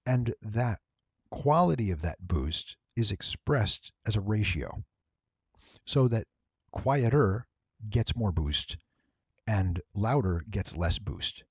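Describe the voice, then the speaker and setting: A man with a low, slightly rough voice walks through a tutorial. He explains deliberately and with emphasis in a very dry, close recording. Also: lively intonation, dry recording, highs cut off. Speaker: voice actor giving an audio editing tutorial. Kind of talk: explanatory screen-recording narration.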